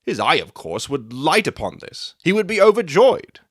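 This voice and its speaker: A man playing a self-assured gentleman detective in a dramatic audiobook, theatrical and articulate as he expounds his deductions. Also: a little high, big pitch swings, moderate pace, no echo, studio quality. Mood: confident, explaining.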